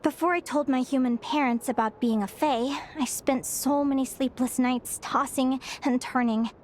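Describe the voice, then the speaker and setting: A woman voicing an earnest young Fae girl in a game cutscene, talking in a soft, reflective, confiding way. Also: sits high, steady tone, normal speed, slight background noise, close to an everyday voice.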